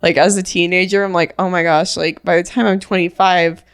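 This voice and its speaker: A woman's voice: on the low side, fairly even intonation, fairly quick, clear, resonant tone. A woman in her twenties co-hosting a podcast, chatting casually and filling her talk with "like.". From Utah. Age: 20s. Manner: chatty, many "like" fillers, quotes her own thoughts. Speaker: podcast co-host. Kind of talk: casual chat.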